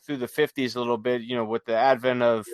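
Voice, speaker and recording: A man chatting casually as a podcast host, halting and peppering his talk with filler phrases. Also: medium pitch, level tone, clean tone, no echo. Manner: halting, peppered with you know. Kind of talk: casual chat.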